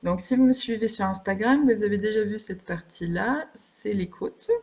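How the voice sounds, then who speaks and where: A woman chatting casually and without a script on a personal craft vlog, reflective and self-deprecating, often pausing to find her words. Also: medium pitch, darkish tone. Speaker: craft vlogger.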